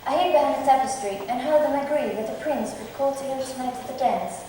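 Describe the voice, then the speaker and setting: A woman's voice: sits high, fast, natural voice. A woman in an amateur stage play, playing an obedient henchman who reports in a matter-of-fact recital. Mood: informative. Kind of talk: amateur stage acting of modernized Shakespeare. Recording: distant, very echoey hall, faint noise.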